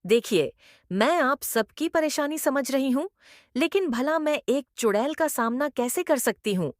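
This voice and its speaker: Village headwoman in a story, played by a woman: reasonable, apologetic, high-voiced, animated. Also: unhurried, no echo, studio quality. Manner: explaining, apologetic. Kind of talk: dramatized story acting.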